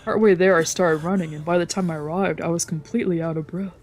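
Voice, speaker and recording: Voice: medium pitch, fairly even intonation, fast. A streamer reading a visual novel aloud as brooding first-person dramatic narration, with music behind. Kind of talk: dramatic narration with character voices.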